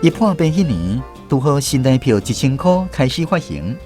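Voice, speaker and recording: A man's voice: mid-range voice, big pitch swings, moderate pace, warm tone, faint music behind. A man with a Taiwanese accent narrating a scripted first-person story as a radio drama storyteller.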